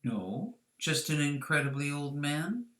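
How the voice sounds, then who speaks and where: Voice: mid-range voice, animated, a little slow. An author voicing a devout, cunning young priestess who is secretly a goddess, formal and insistent, in a story reading. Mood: reverent, challenging. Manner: formal, poised, quietly insistent. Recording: roomy, echoing sound.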